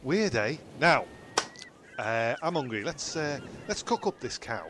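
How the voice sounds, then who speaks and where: Dim castaway male actor: blunt, muttering, hesitant, mid-pitched, over noisy bird ambience. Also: very animated, measured pace, fairly bright tone. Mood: hungry, awkward. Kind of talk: comedy audio drama.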